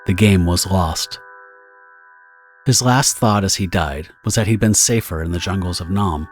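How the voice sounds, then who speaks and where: A man's voice: sits low, unhurried, faint music behind. A man giving scripted, dramatic narration as the narrator of an audio drama.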